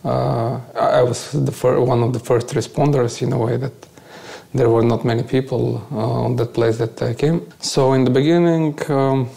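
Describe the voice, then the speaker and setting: A man from Bulgaria with a Bulgarian accent, giving a conversational, halting first-person account as an interview guest. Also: medium pitch, fairly even intonation, gravelly, dark tone.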